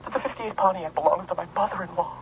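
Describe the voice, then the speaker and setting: Desperate wisecracking guy, rushed, exasperated, highish voice, comic radio ad, dull recording. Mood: harried, comic. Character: harried, desperate, wisecracking car-owning son-in-law. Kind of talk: comic radio commercial acting. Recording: dull, lightly noisy radio.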